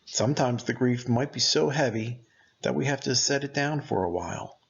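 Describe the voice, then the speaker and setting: Gravelly-voiced man guiding a meditation. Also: mid-range voice, lively intonation, moderate pace, lo-fi sound. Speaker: meditation guide. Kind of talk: guided meditation narration.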